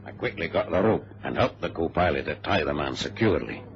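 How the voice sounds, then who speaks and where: A man playing a passenger who gravely narrates a radio drama, heard on a muffled old recording. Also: a bass voice, animated, fairly quick, slight background noise. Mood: recounting. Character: male passenger gravely recounting a hijacked flight.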